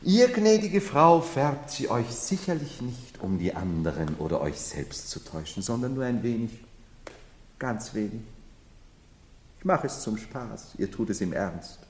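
An actor playing an unsettled, imperious man who believes himself emperor, in solemn, theatrical radio drama style, halting and then rushing. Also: medium pitch, big pitch swings, darkish tone, a little hiss or hum. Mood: sly, insinuating. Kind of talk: 1970s German radio drama acting. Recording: long hall reverb.

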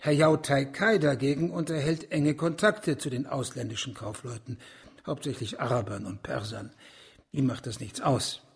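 Raspy, creaky courtly official. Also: male voice, medium pitch, moderate pace. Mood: deferential, explanatory. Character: deferential high official, a provincial governor reporting upward. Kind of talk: German radio crime drama acting.

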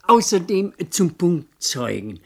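A kindly old man of the law speaks very slowly in a radio play. His voice is creaky and husky. He pauses and ruminates as he goes. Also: sits high, big pitch swings, pushed and bright, heavily acted. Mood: measured, informative. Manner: slow, hesitant, ruminating. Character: Mister Purdy, slow elderly kindly Scottish male legal adviser.

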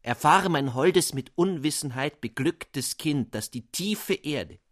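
A man's voice: fairly high pitch, animated, slow, no echo, clean studio sound, voice pushed up. A man voicing a whining, solemn old bearded sorcerer of a father in a fairy-tale reading.

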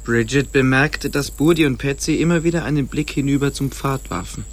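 A man's voice: mid-range voice, brisk. A man narrating a story as scripted narration for a German radio play.

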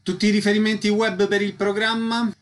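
A man's voice: high-pitched, flat delivery, fairly quick. A man working as a radio interviewer, putting prepared questions to guests.

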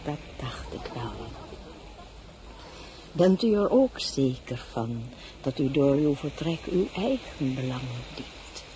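A woman's voice: extremely low pitch, expressive, takes it slowly, dark tone, slight background noise, heavily acted, rough recording. A woman playing a lonely, imperious old dowager queen in a radio drama, stately and probing. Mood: cold, probing.

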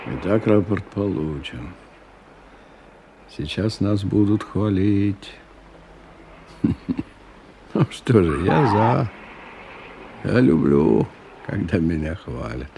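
An actor playing a middle-aged businessman in a radio drama, ironic and musing, in an unhurried way. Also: low voice, big pitch swings, creaky, warm tone, slight background noise, full character voice. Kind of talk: radio drama acting, interior monologue.

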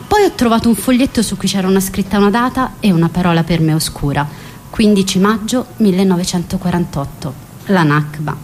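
Woman acting a wistful, low-voiced young storyteller. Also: darkish tone, lightly acted. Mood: reflective. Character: young woman granddaughter, wistful, longing, passionate narrator. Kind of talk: scripted radio drama monologue and letter reading.

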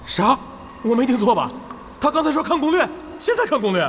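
Very high-pitched, excitable young male gamer. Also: brisk, full character voice. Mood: disbelieving. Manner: exclamatory, gushing. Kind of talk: Chinese audio drama acting. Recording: long reverb, loud music underneath.

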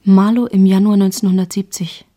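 A woman narrator reading scripted literary prose in a measured storytelling style. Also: mid-range voice, little pitch movement, warm tone. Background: plucked guitar music.

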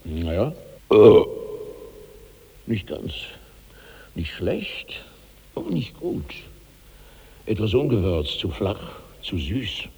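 A man's voice: lowish voice, huge pitch swings, slow, vocal fry, long pauses, darkish tone, slightly rough, long reverb tail, exaggerated voice. An actor playing a gluttonous, demanding giant of sixty-five in a radio drama, grumbling as he goes. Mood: fussy, demanding. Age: older. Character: gluttonous 65-year-old giant man, insatiably hungry, demanding. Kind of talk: 1960s German radio drama acting.

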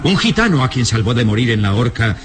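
A man's voice: medium pitch, big pitch swings, fast talker. A man narrating a radio drama in a solemn, ominous, scripted storytelling style. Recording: music under the narration. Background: dramatic music.

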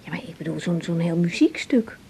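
Mellow, hesitant young mother. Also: fairly low pitch, brisk, breathy edge. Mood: musing. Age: young adult. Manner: hesitant, repeats words. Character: young adoptive mother, tender, hesitant, guilt-ridden. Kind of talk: 1980s radio drama acting.

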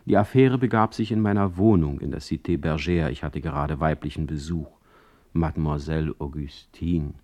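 A sardonic exiled poet of the 1800s with a very deep bass voice. He delivers his letters deliberately, with urbane irony and mock politeness, in a radio drama style. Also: mellow. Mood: wry, self-amused. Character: sardonic, witty male exiled 19th-century poet writing letters.

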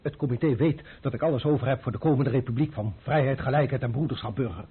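An actor playing a blustering, defensive revolutionary gang leader in a radio drama, with a rough voice and emphatic protests. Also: male voice, mid-range voice, quick pace. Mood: defensive pride. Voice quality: very husky, rough. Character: blustering, defensive ex-brewer leading a band of revolutionary thugs. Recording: muffled old radio play recording.

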